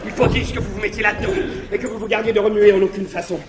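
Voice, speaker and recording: A man's voice: sits high, fairly even intonation, rapid-fire, close to an everyday voice. An actor playing a wily servant who feigns alarm to trick his master, in a theatrical classical stage comedy style. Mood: scheming, coaxing. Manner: theatrical, exclamatory, feigned panic. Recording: echoey old live theatre recording, hiss.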